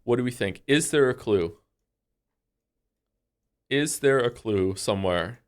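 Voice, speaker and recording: Man livestreamer chatting casually, excitable. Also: medium pitch, unhurried. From Wales. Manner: excitable, incredulous, rambling, thinking aloud. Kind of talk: casual chat with stream viewers while playing a guessing game.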